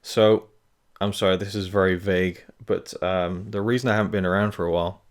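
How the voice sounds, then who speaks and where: A man's voice: low-pitched, fairly even intonation, a little fast. A man hosting his own channel, giving a casual update to camera in a hesitant, rambling way, talking carefully around tooth pain. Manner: rambling, hesitant, many fillers and restarts. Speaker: film review channel host.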